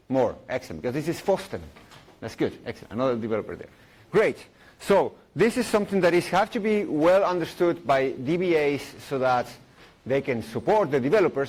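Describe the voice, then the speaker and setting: A middle-aged man speaks into a stage mic at a conference, his voice sitting a little high for a man. He talks in English, cracks jokes and restarts sentences as he walks the room through a technical idea. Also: darkish tone, rough recording. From Chile. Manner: playful, jokey, frequent fillers and restarts. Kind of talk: live technical talk with audience questions.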